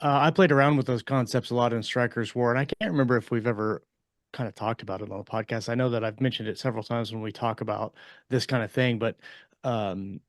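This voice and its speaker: A man's voice: medium pitch, fairly even intonation, fast talker, no room sound, clean studio sound. A man co-hosting a casual podcast discussion, explaining things in a rambling way and often rephrasing as he goes. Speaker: podcast co-host and fiction author.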